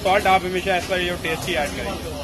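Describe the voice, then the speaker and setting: A man's voice: sits high, brisk. Male cooking host in an outdoor kitchen, slightly raised voice, echoing each step back, traffic noise all around. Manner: repeats each step back in plain terms. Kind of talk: casual cooking video, repeating steps. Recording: noisy outdoor street kitchen. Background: street noise and traffic.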